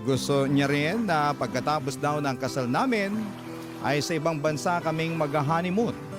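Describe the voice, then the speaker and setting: A man's voice: a little high, normal speed. A man narrating a dramatic radio story as a letter read aloud, with music behind him. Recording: background music under the voice.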